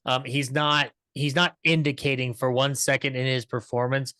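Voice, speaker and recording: A man giving an enthusiastic off-the-cuff review as a podcast panelist, halting and repeating himself as he goes. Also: mid-range voice, flat delivery, bright, forceful tone, clear, resonant tone, no room sound, studio quality. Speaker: podcast panelist discussing classic comedy episodes. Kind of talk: casual discussion, off-the-cuff review.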